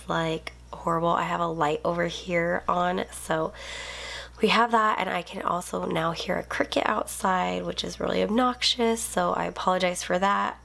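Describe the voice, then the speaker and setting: Young mom planner youtuber, bit of vocal fry, sniffly, rambly chatty at her desk. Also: fairly low pitch, fairly bright tone. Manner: chatty, rambling with many ums and likes. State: sniffly, unwell. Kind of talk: casual plan-with-me chat. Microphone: overhead camera, hands only.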